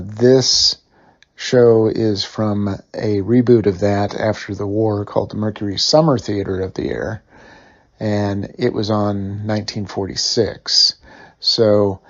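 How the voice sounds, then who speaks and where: A man hosting a podcast, giving a casual, halting, conversational introduction. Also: lowish voice, steady tone. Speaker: podcast host. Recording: slightly dull recording.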